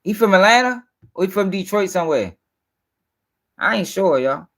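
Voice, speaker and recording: Guy w high voice hosting a stream, blunt slangy talk, swears, says stuff twice to make his point. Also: lively intonation, moderate pace, a bit bright. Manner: blunt, repeats himself for emphasis. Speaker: host. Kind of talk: casual livestream commentary with slang and swearing.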